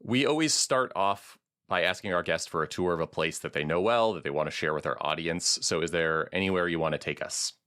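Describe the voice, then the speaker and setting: A man hosting a policy podcast, reading a measured, earnest introduction and then interviewing a guest. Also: sits low, a bit bright. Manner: measured, clear and earnest delivery. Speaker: podcast host.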